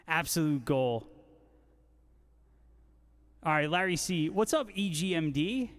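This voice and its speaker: Higher-voiced man in heavy reverb. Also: animated, slow pace. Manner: slangy, joking banter. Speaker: guitarist livestream host. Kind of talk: casual livestream chat, reading viewer comments. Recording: long hall-like reverb on voice.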